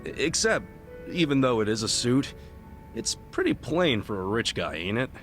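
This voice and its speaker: Lively, blunt street-smart sidekick. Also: male voice, medium pitch, moderate pace, faint music behind. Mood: skeptical. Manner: casual, blunt, wry. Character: street-smart, skeptical male sidekick of an ex-cop. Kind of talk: video game cutscene dialogue.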